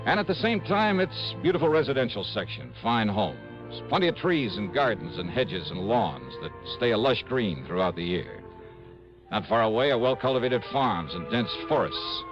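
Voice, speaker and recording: An American actor in his thirties playing a brisk, wry insurance investigator in a 1950s radio drama, with clipped hardboiled delivery. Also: lowish voice, big pitch swings. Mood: brisk narration. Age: 30s. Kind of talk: 1950s radio acting, hardboiled first-person narration. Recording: dull old radio recording, music behind.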